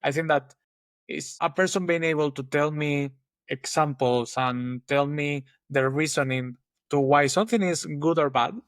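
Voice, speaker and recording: A man with a Spanish accent, a guest on an interview podcast, thinking aloud in a relaxed way with many restarts. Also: a little high, normal speed, no room sound. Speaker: guest engineering manager. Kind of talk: casual interview chat.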